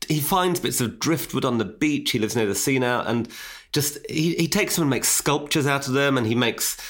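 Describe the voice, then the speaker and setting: A man's voice: medium pitch, lively intonation, normal speed, a bit bright. A man in his forties from Newcastle without a regional accent, chatting casually as a guest with self-deprecating humour and many restarts. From Newcastle, England. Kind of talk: casual podcast chat.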